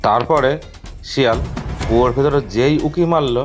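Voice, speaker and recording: A man's voice: medium pitch, moderate pace. A man narrating a scripted children's story as the storyteller. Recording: prominent music behind the voice.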